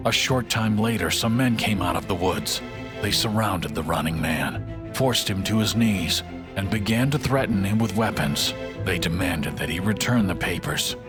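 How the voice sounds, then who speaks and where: A man's voice: deep voice, moderate pace, close to an everyday voice. An actor playing the grave, vengeful ghost of a murdered scientist, telling his story solemnly in a mystery game. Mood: grave recollection. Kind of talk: dramatic scripted monologue in a mystery game. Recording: loud music under the voice. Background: loud music.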